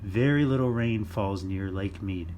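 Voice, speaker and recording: A man reading a children's nonfiction book aloud in simple, deliberate phrases for young listeners. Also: fairly low pitch, mellow, slight background noise. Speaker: children's storytime reader.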